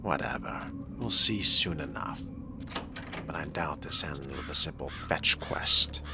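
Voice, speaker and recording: A man's voice: very deep voice, unhurried, vocal fry. A man playing a video game in character, speaking his part with wry, formal and theatrical phrasing. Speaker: role-playing game player. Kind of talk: in-character game role-play commentary. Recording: loud game music behind the voice. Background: loud game music.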